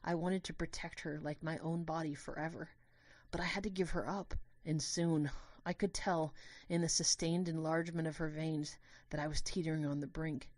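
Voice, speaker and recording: A woman playing a lovestruck, guilt-ridden young student, earnestly narrating an audio drama in the first person with expressive storytelling. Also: deep voice, moderate pace, no echo. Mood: wistful, anguished.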